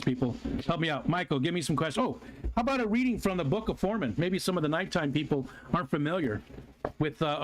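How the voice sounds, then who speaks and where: A male live-stream host chats loosely with his viewers, his voice pitched slightly high. He rambles, restarts sentences and fills gaps with "uh" and "um". A clear hum of background noise runs under the home recording. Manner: rambling, chatty, many fillers and restarts. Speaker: livestream host. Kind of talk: casual live-stream chat.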